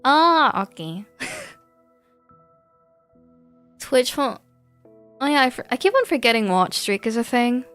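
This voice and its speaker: A woman's voice: fairly high pitch, lively intonation, normal speed, a bit bright, no echo. A woman chatting casually on a gaming livestream in a giggly, rambling way. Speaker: live streamer. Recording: music behind the voice. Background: background music.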